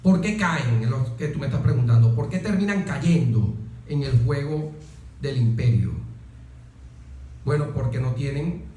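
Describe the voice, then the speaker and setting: A man, an interview guest explaining politics deliberately, with emphatic lists and rhetorical questions. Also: mid-range voice, lively intonation, mellow. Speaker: interview guest, military officer. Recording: very echoey room.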